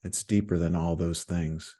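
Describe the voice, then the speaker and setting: Man, meditation teacher, low pitch, very unhurried, calm soothing instruction, frequent pauses. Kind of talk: guided meditation.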